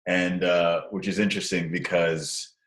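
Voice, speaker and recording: A man in his thirties talking in a casual interview over a call, rambling with restarts and growing animated as he tells stories. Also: deep voice, unhurried, echoey room. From Nigeria and Canada, raised partly in the US. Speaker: guest, social impact entrepreneur. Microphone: call recording.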